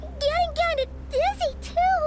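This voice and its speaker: A woman's voice: very high voice, unhurried. A woman voicing a tiny childlike fairy girl companion in a video game, plaintive and chirpy. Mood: uneasy, weary. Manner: speaks of herself in third person, plaintive. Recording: loud game music behind the voice.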